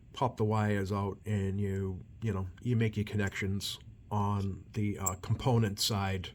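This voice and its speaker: Low-voiced man talking through a repair. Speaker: CB radio repair technician and channel host. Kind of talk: unscripted hands-on repair commentary. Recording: steady background noise.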